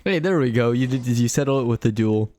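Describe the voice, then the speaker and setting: A man's voice: medium pitch, a little fast, dark tone. A man giving casual live commentary as he streams a video game.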